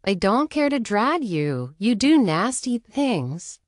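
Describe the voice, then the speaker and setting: A woman playing a firm, guarded woman who refuses to be bullied, curt and measured in a dramatic reading. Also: mid-range voice, very animated, no echo, studio quality.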